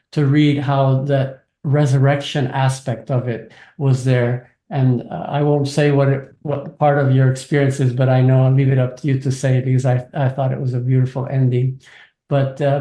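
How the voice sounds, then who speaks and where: A man hosting a podcast interview, speaking in a gentle, encouraging way. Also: mid-range voice, little pitch movement, moderate pace. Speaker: podcast host. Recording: echoey room.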